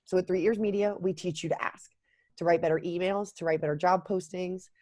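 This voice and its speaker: A woman's voice: lowish voice, steady tone, fairly quick, darkish tone, no echo, clean studio sound. A woman introducing herself on a panel over a video call, confident and wry. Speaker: panelist, business owner. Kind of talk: casual self-introduction.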